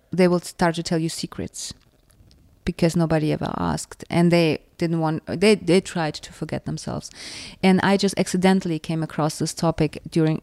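Russian-accented woman, low creaky voice. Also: mellow, no room sound. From Soviet Union. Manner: reflective, searching for words with many fillers. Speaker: author and interviewee. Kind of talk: thoughtful interview answers.

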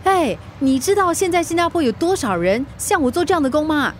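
An actress playing a harried, plucky working mother with a Singaporean accent, expressive and earnest in a radio drama. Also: high-pitched, a little fast, slight background noise. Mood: exasperated, earnest. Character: harried, plucky working mother turned food delivery rider.

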